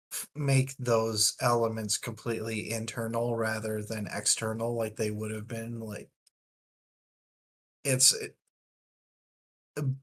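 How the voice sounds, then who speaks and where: A man's voice: medium pitch, a bit bright. A man talking through ideas in a thoughtful, discursive way in a casual book discussion.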